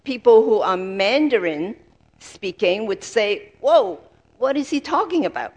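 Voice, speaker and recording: A woman with a medium-pitched voice interprets a sermon phrase by phrase. Her delivery is halting, and she assembles each sentence in short pieces as she goes. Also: big pitch swings, darkish tone.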